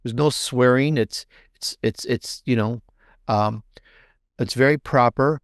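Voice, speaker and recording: A man's voice: mid-range voice, takes it slowly, dry recording, studio quality. A man speaking as an interview guest in a casual, rambling storytelling way, often rephrasing himself mid-thought. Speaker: podcaster and author guest.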